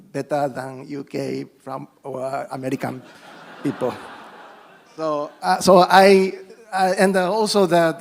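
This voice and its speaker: A middle-aged man speaks English with a Japanese accent at a formal speech, his voice gravelly and set a little high. He works through the words haltingly, restarting phrases and slipping in self-deprecating jokes. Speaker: politician giving a guest speech.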